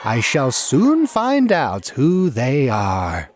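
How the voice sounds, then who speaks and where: A man voicing a maniacally gleeful, vengeful jester dwarf in an audiobook, with a shrill, screaming edge and a drawn-out, taunting delivery. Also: wildly swooping pitch, a little hiss or hum, full character voice. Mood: gleeful, mocking.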